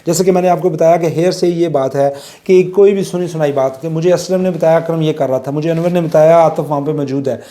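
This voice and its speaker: Mellow-voiced Pakistani man lecturing. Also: a little high, steady tone, fairly quick, hardly any pauses. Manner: explanatory, conversational, illustrating with everyday examples. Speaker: law lecturer. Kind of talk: informal lecture, mixing in English legal terms.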